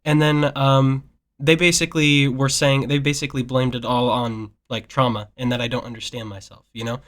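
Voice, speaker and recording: Young man, earnest, rambling, lots of fillers, casual family chat, middle pitch. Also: flat delivery, normal speed, fairly bright tone. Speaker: nephew.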